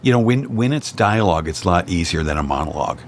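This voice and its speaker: A man's voice: deep voice, lively intonation, quick pace. An American man with an easygoing, storytelling manner, chatting as a guest on a local talk show. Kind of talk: casual chat.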